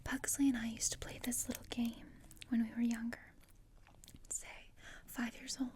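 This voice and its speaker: A woman playing a morbid, scheming, deadpan teenage girl in a whispered ASMR roleplay, with a measured, dryly macabre manner. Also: a little high, natural voice.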